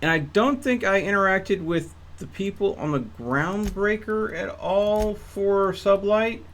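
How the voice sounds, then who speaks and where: A man's voice: sits high, a little slow, a bit bright, a little hiss or hum. A young man streaming a game, chatting casually with a rambling, hesitant manner. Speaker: gaming streamer. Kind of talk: casual live-stream commentary.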